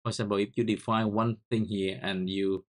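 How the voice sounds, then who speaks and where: A man with a Vietnamese accent walks through a coding tutorial in a lowish voice. His delivery is halting and he often repeats words as he types. He speaks English as a second language. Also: flat delivery. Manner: halting, repeats words while typing key commands. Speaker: software tutorial host. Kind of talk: screen-recorded coding tutorial.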